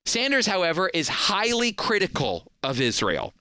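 A man's voice: high-pitched, huge pitch swings, moderate pace, pushed and bright, no room sound, clean studio sound. A man hosting a program, giving scripted political commentary to listeners in an emphatic, explanatory manner.

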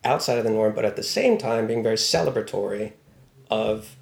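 A man's voice: on the low side, animated, a little fast, vocal fry, echoey room. A man from Baltimore, talking casually and thoughtfully as an interview guest, with a wry tone and many fillers. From Baltimore, USA. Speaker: news writer, guest.

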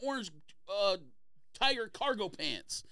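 A man's voice: sits high, very animated, unhurried, crowd noise. An American man on a casual podcast panel, arguing bluntly and scornfully.

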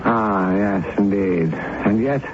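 An actor playing a learned, middle-aged man who is uneasy and dryly superior, with measured, bookish speech in a 1970s radio drama. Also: lowish voice. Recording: muffled vintage radio broadcast, clear hiss.

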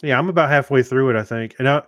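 A man's voice: mid-range voice, quick pace, fairly bright tone, no echo. A middle-aged man co-hosting a podcast in casual chat, talking in a rambling way with many restarts and fillers. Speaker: podcast co-host.

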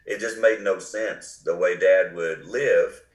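Older Carolina-accented pastor with a cold. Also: male voice, low voice, fairly even intonation, slow pace, echoey room. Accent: Southern US, Carolinas. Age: 60s. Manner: earnest, reflective storytelling. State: has a cold. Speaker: pastor, guest. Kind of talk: casual interview talk.

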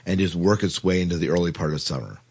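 Guy doing a podcast, low voice, kinda rough and husky, into it and informal. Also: fast, darkish tone. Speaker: podcast host. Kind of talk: casual TV review chat.

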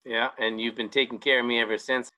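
A man's voice: medium pitch, flat delivery, quick pace, bright, forceful tone, rough recording. A man in his forties hosting an interview in a teasing, conversational way. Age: 40s. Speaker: interviewer host. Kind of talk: casual interview.

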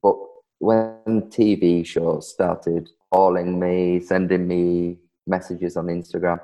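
A man's voice: sits low, flat delivery, takes it slowly, dark tone, poor audio. A man with a broad Geordie accent, a guest on an interview, speaking modestly and laughing often. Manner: modest, laughs often, self-described shy. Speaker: guest, video creator. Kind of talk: conversational interview. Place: living in southern Spain.

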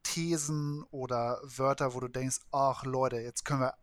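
Male interview host, mid-pitched, dry close sound, relaxed delivery. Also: measured pace, dry recording. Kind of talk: casual interview questions.

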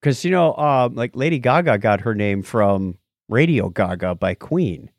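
A man's voice: medium pitch, animated, measured pace, dry recording, studio quality. A man hosting a podcast in a casual, playful and enthusiastic chatting style. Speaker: podcast host.

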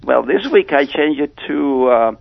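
A man phoning in to a radio show, giving casual, rambling scripture commentary in a halting, hesitant way. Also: medium pitch, animated, gravelly, no room sound. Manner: hesitant, halting delivery with repeated pauses. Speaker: phone-in caller. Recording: dull phone line on radio.

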